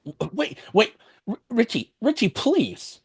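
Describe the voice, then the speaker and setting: A man's voice: very high voice, sing-song, slow pace, creaky, breathy edge, heavily acted. A man reading an eager, clingy male hanger-on at an amateur table read, pleading and stammering.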